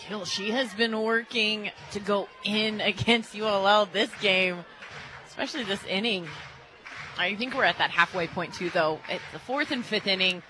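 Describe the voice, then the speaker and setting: A woman's voice: mid-range voice, normal speed, rough recording. An American woman giving conversational color commentary on a live sports radio broadcast. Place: stadium radio booth.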